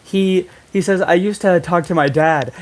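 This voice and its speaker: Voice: mid-range voice, moderate pace. A speaker chatting casually over gameplay, retelling things in a rambling way and acting out quotes. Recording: loud game music behind the voice. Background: video game music.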